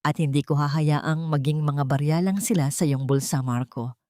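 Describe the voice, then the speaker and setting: A narrator, a woman, plays a resolute Filipina airline captain in an audiobook drama. She speaks low, firm and commanding with a Filipino accent, in a dry recording. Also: fairly even intonation, normal speed, a little mellow, dry recording, natural voice. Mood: defiant.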